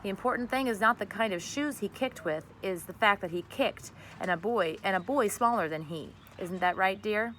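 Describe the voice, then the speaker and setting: A woman voicing a firm, reasoning father in a children's storybook, measured and lecturing. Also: medium pitch, fairly bright tone, a little hiss or hum, close to an everyday voice. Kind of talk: children's storybook read aloud.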